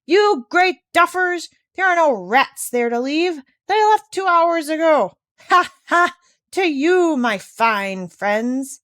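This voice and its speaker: A woman's voice: mid-range voice, expressive, moderate pace, dry recording, clean studio sound. A woman voicing a brave, scrappy male dog who is eager to fight in a storybook reading. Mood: taunting. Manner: eager, defiant.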